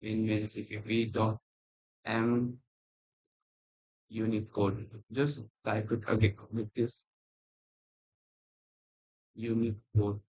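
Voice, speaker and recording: Halting man on a dull laptop mic. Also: fairly low pitch, flat delivery, darkish tone. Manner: halting, rambling, non-native phrasing. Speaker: programming tutor. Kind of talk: screen-recorded coding tutorial. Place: at a computer, indoors. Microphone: laptop built-in mic.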